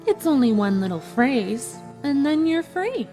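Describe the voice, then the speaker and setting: A woman's voice: sits high, big pitch swings, a little slow, loud music behind the voice, exaggerated voice. A woman voicing a cruel, powerful pony sorceress in a fan fiction audio drama, taunting with theatrical mock politeness. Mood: menacing, gloating.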